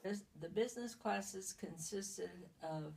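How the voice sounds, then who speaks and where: Slow, gravelly woman in her seventies. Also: mid-range voice, a little mellow. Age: 70s. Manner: hesitant, halting with many restarts. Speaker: interviewee. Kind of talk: casual interview answers. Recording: distant mic.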